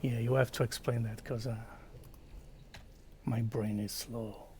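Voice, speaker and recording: A man from Portugal with a Portuguese accent, chatting quietly on a stream, hesitant, with many fillers and slips into whispers. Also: mid-range voice, fairly even intonation, unhurried, a little hiss or hum. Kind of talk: quiet casual chat with viewers.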